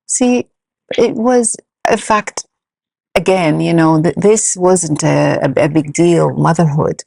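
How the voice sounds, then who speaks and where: A middle-aged woman from Iraq whose native language is Arabic, telling her own story as an interview guest in a halting, measured way. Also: low-pitched, creaky, warm tone, no room sound. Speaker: podcast guest, senior lecturer.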